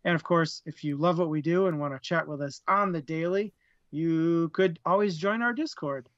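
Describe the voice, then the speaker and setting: Chatty man, slightly higher voice. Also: normal speed, no echo, muffled recording. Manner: chatty, laughs often, trips over words. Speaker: co-host of a live book discussion stream. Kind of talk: casual live-stream chat, plugs and rundown.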